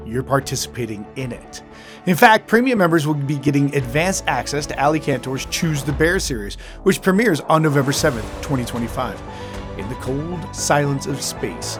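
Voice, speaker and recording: A man reading scripted promotional narration as an announcer. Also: a little high.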